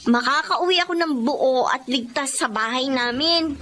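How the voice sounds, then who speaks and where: A headstrong Filipino schoolgirl in a radio drama. The voice is high and girlish, with faint noise behind it. She comes across as a carefree teenager. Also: moderate pace, bright, forceful tone. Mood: breezy.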